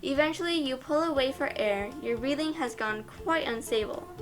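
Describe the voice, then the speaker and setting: High-voiced young woman reading over music. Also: normal speed. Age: young adult. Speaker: fan fiction reader. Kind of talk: expressive storytelling narration. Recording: music behind the voice.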